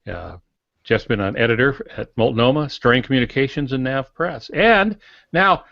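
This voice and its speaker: A man in his sixties co-hosting a podcast, introducing things informally in a halting, folksy, lightly joking way. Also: medium pitch, expressive, creaky, no echo, muffled recording. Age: 60s. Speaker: podcast co-host.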